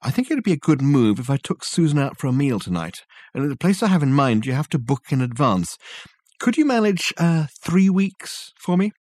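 A man's voice: a little high, very animated, normal speed, a little mellow, no room sound, pitched above the performer's own voice. A man voicing a weary, harried young man who hesitates with ums and uhs.

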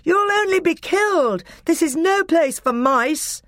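A woman voicing a brave, commanding young high king in a storybook reading. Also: lively intonation, a little slow, no room sound, clean studio sound, close to an everyday voice. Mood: urgent, commanding.

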